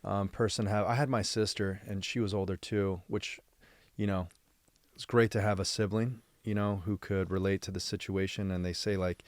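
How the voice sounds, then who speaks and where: A man from San Diego hosts a podcast, his voice sitting on the low side. He rambles reflectively and thinks aloud as he goes. The recording is dry, with no room sound. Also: level tone, moderate pace, dry recording. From San Diego, United States. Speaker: podcast host. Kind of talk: casual interview conversation.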